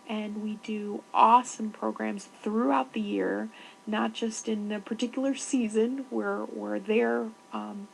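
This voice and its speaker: A woman's voice: fairly high pitch, creaky, a little hiss or hum, poor audio. A woman program coordinator answering interview questions, explaining carefully and searching for words.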